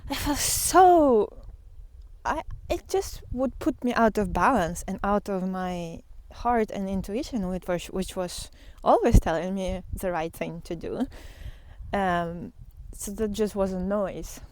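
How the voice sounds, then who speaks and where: A woman with a mid-pitched voice speaks slowly and reflectively on spiritual matters. She thinks aloud as she goes, leaving pauses and earnestly restating phrases for emphasis. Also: darkish tone, a little hiss or hum. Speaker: spiritual teacher and artist. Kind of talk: reflective spiritual talk, unscripted monologue.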